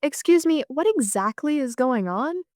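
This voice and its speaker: A man's voice: very high-pitched, animated, moderate pace, a little mellow, dry recording, studio quality, pitched above the performer's own voice. A man voicing an eager, pleading young woman in an audio drama. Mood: nervous. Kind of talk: audio-drama character dialogue.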